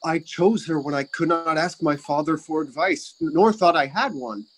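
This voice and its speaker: An actor plays a young prince deeply in love. His male voice is earnest and courtly, recorded dry, speaking Shakespearean verse for radio. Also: moderate pace, no echo, lightly acted. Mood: earnest, proud. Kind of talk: Shakespearean radio play acting.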